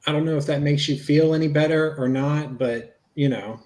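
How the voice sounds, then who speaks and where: A man's voice: fairly high pitch, steady tone, moderate pace, darkish tone, lightly acted. A man reading the part of a reassuring, plain-spoken investigator in a conversational dramatic reading of a police interview.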